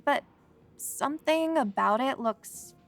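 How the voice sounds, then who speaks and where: An actress playing a sarcastic, curious young reporter in a comedy audio drama. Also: female voice, high-pitched, lively intonation, takes it slowly, a bit bright, faint music behind, heavily acted. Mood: intrigued. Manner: sarcastic, teasing. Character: wry, curious young female reporter chasing a story.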